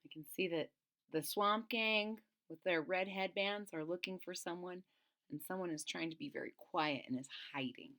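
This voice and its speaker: A woman reading a children's storybook aloud as host, expressive, with deliberate emphasis and explanatory asides. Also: lowish voice.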